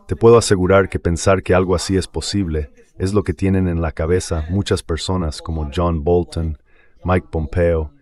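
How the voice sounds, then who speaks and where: A man's voice: deep voice, darkish tone. A man's synthetic dubbing voice giving conversational political commentary as a guest on a show. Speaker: guest commentator, former intelligence officer.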